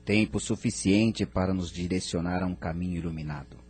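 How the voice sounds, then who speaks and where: A man's voice: low voice, steady tone, brisk, a little mellow, breathy edge, soft background music. A man playing a wise, courteous spirit mentor in an audio drama, explaining things formally and didactically. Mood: calm, didactic.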